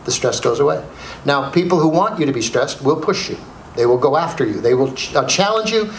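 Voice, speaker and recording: Man, Canadian accent, high voice, humorous keynote speaker, animated and emphatic, echoey room with faint noise. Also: fairly quick. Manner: animated storyteller, emphatic repetition. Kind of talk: humorous motivational keynote to a live audience. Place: indoor speaking venue with audience. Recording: roomy live hall, faint background noise.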